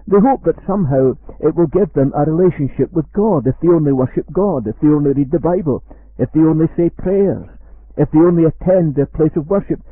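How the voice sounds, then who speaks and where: A man with a Scottish accent, giving an earnest, measured radio sermon. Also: fairly high pitch, no room sound. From Scotland. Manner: measured, earnest preaching, slows for quoted verse. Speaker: preacher. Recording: dry, tinny phone-like radio sound.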